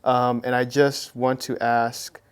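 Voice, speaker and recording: A young man hosting a casual interview, relaxed, asking long questions and answering with short affirmations. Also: mid-range voice, slow pace, smooth. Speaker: host.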